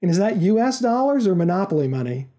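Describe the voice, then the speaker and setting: Doubtful everyman, read by a man: wry, questioning, higher male pitch, very mellow tone. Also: very animated, fast. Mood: incredulous. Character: skeptical, wry male job candidate. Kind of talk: audiobook-like story reading.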